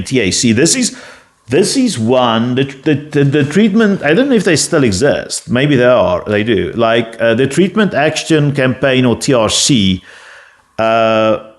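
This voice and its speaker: A South African man whose first language is Afrikaans, reading a prepared script and then rambling loosely in a casual rant full of fillers and restarts. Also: mid-range voice. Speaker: political commentator.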